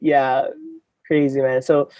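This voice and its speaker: A man's voice: medium pitch, level tone, slow, dark tone, dry recording, lo-fi sound. Chatty young man, dry sound. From Philippines. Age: 20s. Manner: chatty, laughs often, many fillers. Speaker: guest, marketing head. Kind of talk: casual interview chat.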